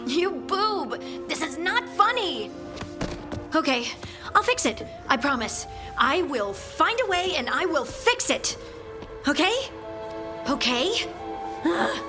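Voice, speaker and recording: A woman's voice: high-pitched, very animated, slow, long pauses, over music, exaggerated voice. A woman voicing an earnest, plain-spoken dwarf companion in a video game, informal and emotionally open. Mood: agitated, upset.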